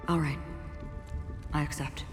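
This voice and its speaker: A woman playing a calm, weary, enigmatic swordswoman in a video game, speaking in a measured, solemn way. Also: low voice, in a large hall. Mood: somber, resigned. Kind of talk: restrained video game acting.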